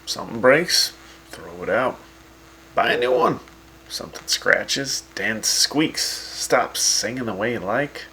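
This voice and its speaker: Guy doing a teasing radio host, rough voice, swoops up and down a lot. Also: mid-range voice, lively intonation, slow pace, pushed and bright, slight background noise, exaggerated voice, poor audio. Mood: wry. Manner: sarcastic, teasing, chatty. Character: wry sarcastic male wasteland radio host.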